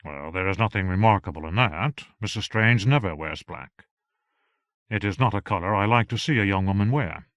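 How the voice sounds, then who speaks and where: A man voicing a reserved gentleman husband who speaks in a measured, dry, subdued way. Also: on the low side, expressive, no echo, highs cut off.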